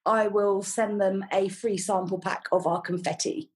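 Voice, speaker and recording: A woman's voice: mid-range voice, level tone, moderate pace. Middle-aged woman chatting on a podcast, friendly, lots of ums and little laughs, ordinary mid-height voice. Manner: chatty and warm, with frequent ums and light laughs. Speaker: podcast guest, flower farmer. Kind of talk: casual interview chat.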